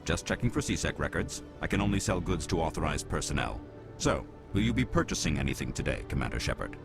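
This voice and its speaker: A man's voice: extremely low pitch, sing-song, quick pace, music in the background, full character voice. A man playing a polite, businesslike shop clerk on a space station in a video game.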